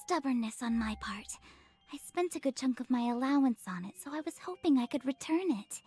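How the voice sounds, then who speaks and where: A woman's voice: sits high, animated, a little fast, a little mellow, slightly rough, faint music behind. A woman voicing a timid, polite teenage girl in a visual novel, hesitant and apologetic in her delivery. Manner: hesitant, meek. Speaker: voice actor. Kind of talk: visual novel character acting.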